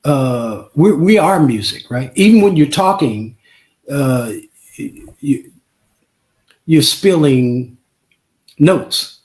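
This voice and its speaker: A man's voice: on the high side, lively intonation, slow, pauses often, darkish tone. A man, a musician guest on an interview podcast, talking emphatically, repeating himself and checking with "right?". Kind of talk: casual interview chat.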